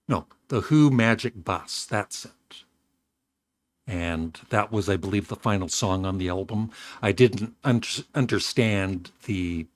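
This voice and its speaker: Older male host, slightly rough voice, low, dry close sound, rambling casual chat. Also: no echo. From Canada. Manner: rambling, self-correcting, warm chuckles. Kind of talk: casual vlog talk.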